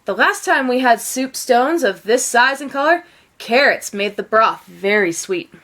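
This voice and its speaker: Woman reading as a kindly monk, lively high pitch. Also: a little slow. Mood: kindly, coaxing. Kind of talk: storybook read-aloud character voice.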